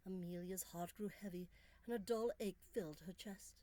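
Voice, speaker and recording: A woman author reading her own gothic romance story as scripted narration, measured and expressive. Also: fairly low pitch.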